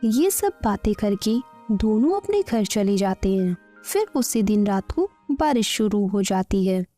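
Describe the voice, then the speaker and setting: A woman's voice: medium pitch, big pitch swings, measured pace, dark tone, soft background music. A woman narrating a scripted bedtime story.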